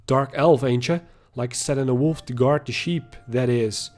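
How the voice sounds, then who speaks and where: A man voicing a gruff one-armed veteran sergeant in a storybook reading, with a rough folksy dialect and a chuckle. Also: mid-range voice, normal speed, darkish tone, faint music behind, lightly acted.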